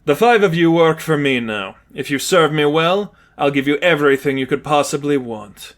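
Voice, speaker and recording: A man voicing a cold, controlling male villain in an audiobook, speaking in a commanding, deliberate, threatening way. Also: fairly high pitch, expressive, fairly bright tone. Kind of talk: fan fiction audiobook character acting.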